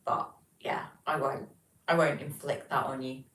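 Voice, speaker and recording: A young British woman hosting a livestream from her flat, reading out chat messages and rambling casually with lots of ums. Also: very deep voice, a little slow. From Britain. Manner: chatty, rambling, many ums. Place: home, flat. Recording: echoey room, distant mic, faint noise.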